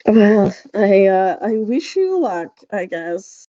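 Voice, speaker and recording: A woman's voice: medium pitch, big pitch swings, slow pace, dark tone, dry recording, highs cut off. A woman co-hosting a casual podcast, speaking with hesitant, understated, dry reactions. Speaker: podcast co-host.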